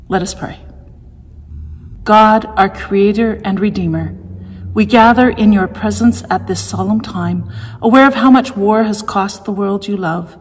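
A middle-aged woman leading a church service from home, reading prayers in a calm, measured way. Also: medium pitch, husky, in a large hall. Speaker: worship leader. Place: home room, indoors.

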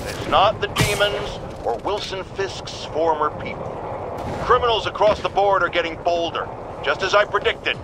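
A smug, blustering male radio broadcaster in a video game. His raised voice rides over loud background noise as he pronounces on the city's troubles. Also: high-pitched, normal speed. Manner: blustering, self-satisfied. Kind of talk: video game cutscene voice acting.

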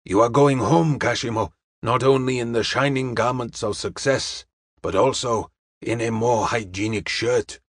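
A man's voice: mid-range voice, measured pace, no room sound, clean studio sound, close to an everyday voice. A man playing a courteous, wise Chinese detective in an audiobook, speaking formal, stilted English with dropped articles. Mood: calm, benevolent.

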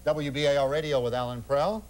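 A man hosting a TV talk show, bantering in a quick, teasing way into a lapel mic in a studio. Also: fairly high pitch, darkish tone. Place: TV studio set with audience.

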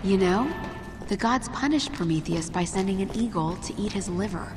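A woman's voice: medium pitch, expressive, normal speed, natural voice. An actress playing a level-headed, determined young woman surviving in a horror video game. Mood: cautious, focused. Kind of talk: video game drama acting. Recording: loud game music underneath.

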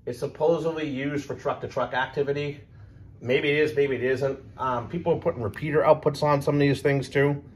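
A man giving a casual, unscripted explainer as a hobbyist presenter, halting, repeating himself and trailing off. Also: medium pitch. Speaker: presenter of a radio hobby video.

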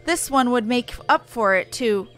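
A woman's voice: fairly high pitch, expressive, unhurried, fairly bright tone, soft background music. A woman voicing a small, bumbling male forest creature from a fantasy game, begging whinily in odd third-person speech. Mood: pleading.

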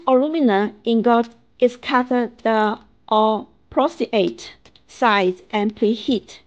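A middle-aged woman with a Chinese accent reading a scripted promotional narration in a halting way, as a factory representative. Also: fairly high pitch, a little mellow, highs cut off. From China. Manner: halting reading, stumbling over and repeating phrases. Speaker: factory representative presenting products.

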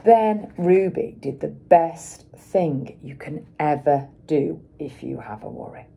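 Slow, very animated woman reading a storybook. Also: deep voice, vocal fry, husky. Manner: expressive, dramatic emphasis and pauses for young children. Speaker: schoolteacher reading aloud. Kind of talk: children's picture book storytelling.

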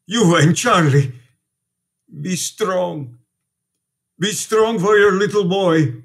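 A very husky, resolute priest. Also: male voice, high-pitched, heavily acted. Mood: earnest, reassuring. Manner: short, deliberate, insistent sentences. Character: urgent, protective immigrant priest, resolute and devoted. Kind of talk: radio drama acting.

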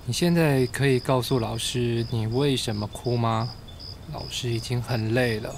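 A man's voice: medium pitch, steady tone, moderate pace, a little hiss or hum, close to an everyday voice. A man acting a patient, weary middle-aged teacher in a radio drama. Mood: patient, tired.

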